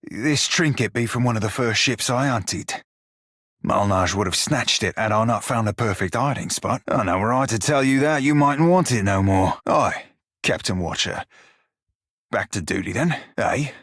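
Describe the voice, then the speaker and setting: A salty pirate shiphunter from a fantasy video game, gruff on the outside and soft at heart. His voice sits fairly low and is recorded close and dry. He talks in a folksy, irreverent pirate dialect full of old sailor's turns of phrase. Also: lively intonation, moderate pace, no echo. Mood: wry, warm. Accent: stylized pirate dialect. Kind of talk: video game character dialogue.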